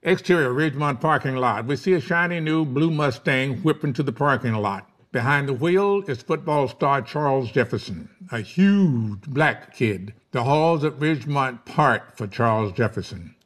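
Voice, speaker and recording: A man's voice: mid-range voice, expressive, moderate pace, hardly any pauses, a bit bright. A man reading scene headings and stage directions as narrator in a scripted table read.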